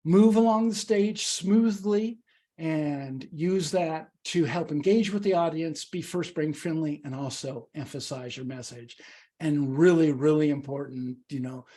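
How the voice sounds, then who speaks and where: A man leading a public speaking workshop, teaching in an animated, emphatic, conversational way with a slightly husky voice. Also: a little high, a little slow. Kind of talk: instructional talk with questions and answers.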